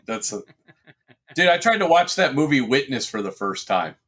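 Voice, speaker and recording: A man's voice: mid-range voice, moderate pace, husky. A middle-aged man chatting casually as a podcast co-host, rambling and swearing freely as he tells stories. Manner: rambling, profane, animated storyteller. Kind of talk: casual chat with friends.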